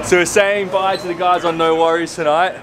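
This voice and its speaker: A man's voice: high voice, flat delivery, brisk, crowd noise. A young man hosting a sailing vlog, chatting casually and jokingly to a handheld camera outdoors. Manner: laid-back and jokey, calls people mate. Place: outdoors on a marina and city streets. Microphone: handheld camera at arm's length.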